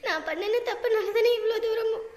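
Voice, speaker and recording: A woman's voice: extremely high pitch, lively intonation, rapid-fire. A woman playing a guilt-ridden, resentful young runaway bride in a family drama, reproachful. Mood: tearful guilt. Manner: tearful, reproachful. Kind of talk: amateur village family drama acting. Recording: echoey room sound.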